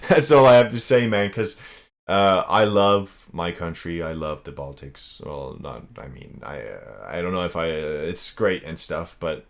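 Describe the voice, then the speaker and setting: A young man from Latvia with a lowish voice, chatting loosely on stream. He rambles in a laid-back way, drifts between thoughts and drops "bro" constantly. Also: expressive, a little slow, vocal fry. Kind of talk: casual reaction stream.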